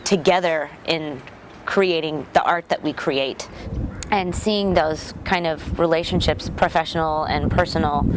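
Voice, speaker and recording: Female theatre director, slightly low voice, reflective interview delivery, some ambient noise behind her. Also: fairly even intonation, measured pace. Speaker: youth theatre director and teacher. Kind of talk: documentary interview.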